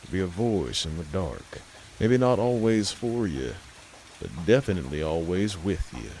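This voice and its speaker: A man narrating a horror story and talking to his listener in a folksy, unhurried way. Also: deep voice, big pitch swings, darkish tone, slight background noise. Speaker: storyteller host.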